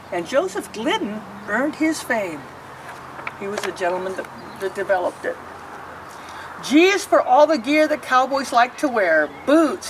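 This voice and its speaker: A woman reading a rhyming picture book aloud, pausing to explain the pictures. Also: on the high side, far from the mic, slight background noise, low-quality recording. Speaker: storybook reader.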